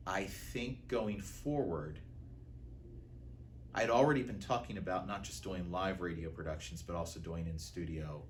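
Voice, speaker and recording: An American man with a low-pitched voice talks in an interview. He recounts things in a conversational, storytelling way. A light layer of background noise sits behind him. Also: a little slow. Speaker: art director of a theater and radio drama troupe, interviewee.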